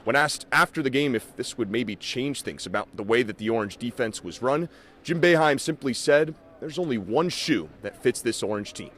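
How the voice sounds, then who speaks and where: A man working as a sports announcer and reporter, delivering highlights and reports in a punchy, emphatic broadcast style. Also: expressive, normal speed, fairly bright tone.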